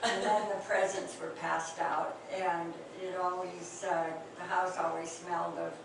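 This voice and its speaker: An older woman recalls family memories at an unhurried pace, stopping often to search for words. Her voice is on the low side for a woman. She sounds far from the mic in a very echoey room. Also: flat delivery, gravelly, background noise. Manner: hesitant, many fillers, gentle laughs. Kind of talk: reminiscing oral history talk.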